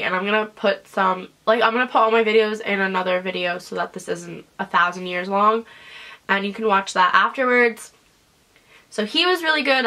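A young woman tells a story straight to a camera set up in her small bedroom, her voice bright and forward. She sounds congested and sniffly, and she talks in a loose, chatty way, full of asides and fillers. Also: fairly high pitch. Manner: chatty and animated, lots of "like" and "whatever". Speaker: vlogger. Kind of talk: casual storytelling vlog. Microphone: camera in front at desk distance.

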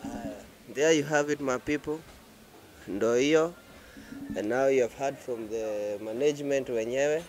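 A man's voice: mid-range voice, a little slow, a little mellow, a little hiss or hum, low-quality recording. A young man with a Kenyan accent hosting a casual interview, switching between Swahili and English, on a handheld mic. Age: young adult. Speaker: show host, interviewer. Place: inside a supermarket. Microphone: handheld microphone. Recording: music playing behind the voice. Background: music.

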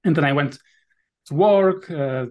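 A man's voice: on the high side, a little slow, darkish tone, lo-fi sound. Polish-accented man on a video call. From Poland. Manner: thoughtful, many fillers and restarts. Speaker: guest, VR sailing app developer. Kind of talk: casual interview answers.

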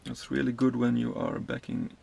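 A man in his thirties from Sweden, a truck driver giving a casual tour of his cab, explaining the controls in a halting way with many fillers and restarts. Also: medium pitch, huge pitch swings, gravelly, mellow, breathy edge.

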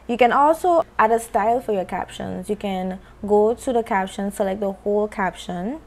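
A woman's voice: medium pitch, a little hiss or hum. A young woman with a West Indian accent, walking through a video editing tutorial in a casual, conversational way and articulating her words with care. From West Indies. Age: young adult. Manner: relaxed, conversational, careful articulation. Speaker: content creator tutorial host. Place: small indoor home studio. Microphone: handheld microphone close to mouth.

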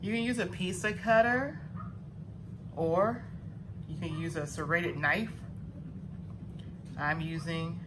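A woman's voice: lowish voice, steady tone, slow, pauses often, background noise. A woman with a Southern US accent hosting her own cooking show, walking viewers through a recipe step by step in a homey, instructive way.

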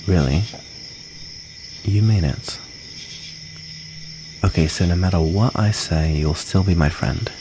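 Casting: male actor as gentle outcast young vampire for ASMR roleplay, deep voice, slow halting delivery, shy and wounded. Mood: hesitant, confiding. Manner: hesitant, halting, soft-hearted delivery. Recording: noisy, cricket ambience behind.

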